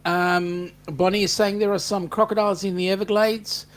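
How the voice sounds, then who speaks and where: An upbeat Australian man hosting a relaxed online chat and reading out viewer comments. His voice sits a little high for a man, and he keeps a friendly, chatty flow. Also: moderate pace. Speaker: host.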